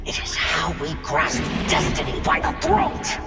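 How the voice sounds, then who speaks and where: A haughty dark spirit from a video game, tempting and commanding. The delivery is slow and grandiose, with huge pitch swings. It rings in a cavernous hall over loud music. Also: huge pitch swings, vocal fry, full character voice. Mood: cold menace. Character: haughty sinister dark spirit offering forbidden power. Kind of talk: dramatic game voice acting. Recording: heavy echoing hall reverb under loud music.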